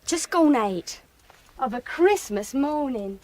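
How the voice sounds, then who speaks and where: An actress playing a young, artless, dutiful housemaid in a radio drama. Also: female voice, high voice, animated, slow, a little mellow. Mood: urgent. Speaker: maid.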